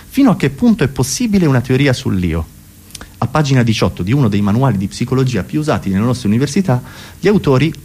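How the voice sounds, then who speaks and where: A male comedian performs a philosophical monologue with a very lively, swooping delivery. He rambles and corrects himself like a mock professor, at a middling male pitch. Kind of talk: comic philosophical stage monologue.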